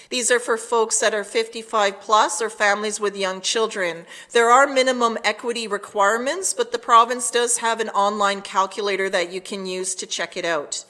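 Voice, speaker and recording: A woman delivering a prepared public address as town mayor, measured and formal. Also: medium pitch, fairly even intonation, bright, forceful tone.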